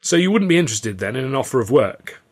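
A man's voice: medium pitch, big pitch swings, fast talker, darkish tone, pitched above the performer's own voice. Man acting sly older theatre boss. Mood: probing, sly. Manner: wheedling, pointed, colloquial bargaining. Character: sly, overbearing older male theatre boss, falsely genial.

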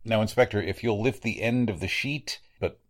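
A man performs a blunt male medical examiner in a vintage radio drama. His voice sits fairly low. He is grave and deliberate, weighing out his lines. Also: lightly acted. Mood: grave, uneasy. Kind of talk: 1940s radio drama acting.

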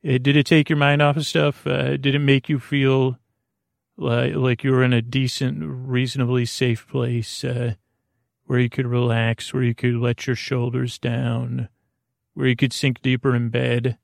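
A man hosting a sleep podcast with a creaky voice, rambling gently and haltingly through soothing, slightly silly tangents. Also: mid-range voice, level tone, no echo, studio quality. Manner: meandering, halting, frequent tangents. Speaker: podcast host. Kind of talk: rambling bedtime monologue to lull listeners to sleep.

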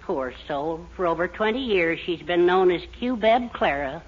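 Lilting, fussy middle-aged radio dad. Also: male voice, sits high, lively intonation, a little slow. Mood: sympathetic. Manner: hesitant, coaxing. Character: meek, wistful, fussy middle-aged father. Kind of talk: 1940s radio sitcom acting. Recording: muffled, hissy 1940s radio recording.

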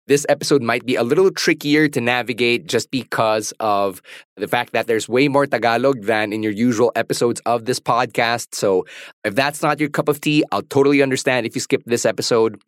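A man's voice: mid-range voice, dry recording. Filipino man hosting, dry close sound. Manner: articulate, conversational, switches into Tagalog. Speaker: podcast host. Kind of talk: podcast introduction and interview.

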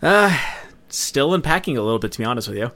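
Male co-host, mid-pitched, loose rambling chat full of hesitant little fillers. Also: big pitch swings, fast talker, fairly bright tone. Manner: rambling, conversational, frequent use of "like". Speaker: podcast co-host. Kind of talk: casual chat.

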